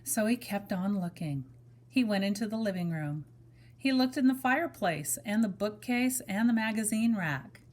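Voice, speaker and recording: A woman reading a children's story aloud in a measured, expressive manner, as if to young children. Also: mid-range voice. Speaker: storybook reader. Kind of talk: children's story read-aloud with brief asides to listeners.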